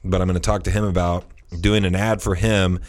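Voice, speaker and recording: A man's voice: sits low, flat delivery, brisk. A thirty-year-old man, a podcast host, talking in a meandering, casual way with many restarts. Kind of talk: casual chat.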